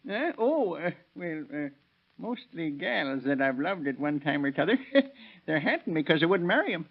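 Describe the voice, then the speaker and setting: A man's voice: fairly high pitch, sing-song, normal speed. An actor in a 1930s radio drama playing a balmy, giggly backwoods man with a rural American accent, folksy and rambling. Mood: rambling, gleeful. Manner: cackling, folksy, hesitant, rambling. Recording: muffled vintage 1930s radio recording.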